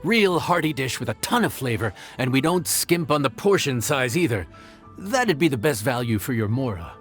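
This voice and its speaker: An actor playing a friendly, encouraging restaurant worker in a video game, easygoing and obliging. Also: male voice, mid-range voice, very animated, normal speed, fairly bright tone, faint music behind. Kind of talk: natural video game voice acting.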